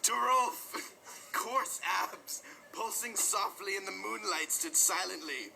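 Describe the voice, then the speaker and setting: A man giving a mock-dramatic, overwrought reading of parody romance fiction, with drawn-out emphasis. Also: high-pitched, expressive, creaky, bright, forceful tone, faint music behind, poor audio. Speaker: reader of a comic dramatic reading.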